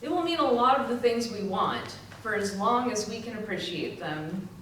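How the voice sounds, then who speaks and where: A woman's voice: medium pitch, animated, normal speed, a bit bright, close to an everyday voice. Woman playing an ailing young worker, heavily echoing. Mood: grateful. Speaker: community theatre performer. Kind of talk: readers theater dramatic narration. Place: library readers theater performance, indoors. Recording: very echoey hall, distant, faint hiss.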